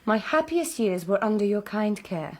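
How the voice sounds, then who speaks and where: A gentle young gentlewoman in a period radio play. Her medium-pitched voice is sincere and measured, polite yet self-possessed. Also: very animated. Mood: earnest pleading. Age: young adult. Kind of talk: period radio drama acting.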